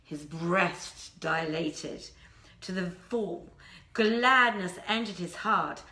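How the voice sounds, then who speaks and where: A woman giving a live, theatrical reading of classic tales with deliberate phrasing, recorded indoors in a theatre. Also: on the low side, sharp tone. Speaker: storyteller, reader. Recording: roomy, echoing theatre sound.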